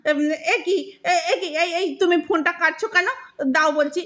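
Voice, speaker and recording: A woman playing a sharp-tongued older Bengali wife and mother in an audio drama, scolding with sarcastic emphasis. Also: very high-pitched, steady tone, moderate pace. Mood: hurt, outraged. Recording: roomy, echoing sound.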